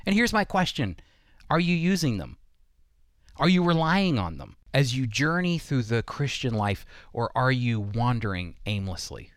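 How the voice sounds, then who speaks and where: A man's voice: medium pitch, sing-song, moderate pace. A man hosting a devotional radio program, teaching scripture earnestly with emphatic phrasing and rhetorical questions. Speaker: radio Bible teacher and host.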